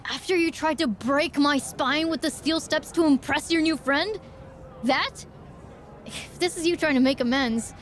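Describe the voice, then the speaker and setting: A woman's voice: high voice, a little slow, a little hiss or hum. A woman playing a proud, sarcastic female wrestler in a video game cutscene, with a blunt, firm manner. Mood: resentful.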